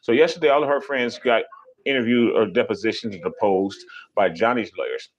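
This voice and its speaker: A man ranting on a casual live stream, animated and profane, mocking people with sudden high mimicking voices. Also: fairly quick, rough recording.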